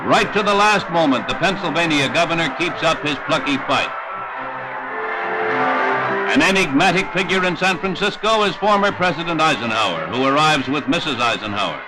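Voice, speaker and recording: A man's voice: on the high side, lively intonation, normal speed, rough recording. A man with an American accent reading scripted 1960s newsreel narration in a formal announcer's delivery. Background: music.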